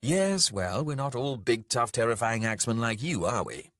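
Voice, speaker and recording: A man's voice: lowish voice, moderate pace, no room sound, studio quality, lightly acted. A man voicing a sardonic, sweetly mocking male swordsman in a fantasy audiobook, snappy and sarcastic.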